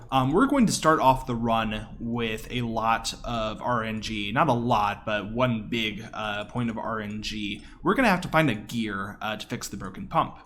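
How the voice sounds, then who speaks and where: A man's voice: fairly low pitch, slight background noise. A man giving casual, enthusiastic live commentary on his own video game speedrun in a conversational way. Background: quiet game audio.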